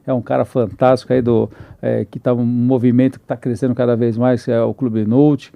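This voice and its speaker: A man's voice: medium pitch, little pitch movement, brisk, gravelly, no room sound, phone-quality sound. A man hosting a podcast, introducing his guests with enthusiastic, rambling talk full of restarts. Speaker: podcast host.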